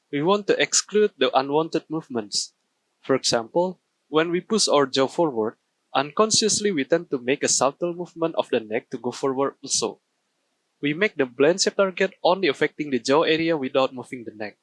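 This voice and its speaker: Slow-paced man presenting a technical workflow. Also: fairly high pitch, fairly bright tone. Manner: careful, step-by-step explaining. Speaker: game studio artist presenting a workflow.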